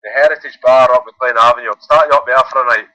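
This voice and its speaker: A man's voice: medium pitch, steady tone, very fast, sharp tone, dry recording, rough recording. A man from Glasgow with a Glaswegian accent, calling in to a phone-in and chatting casually in loose run-on phrases. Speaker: caller.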